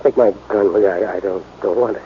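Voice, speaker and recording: An actor playing a desperate, distraught young American husband, intense and halting, in 1940s radio acting style. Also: male voice, on the low side, lightly acted. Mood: stunned. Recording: tinny, noisy 1940s radio recording.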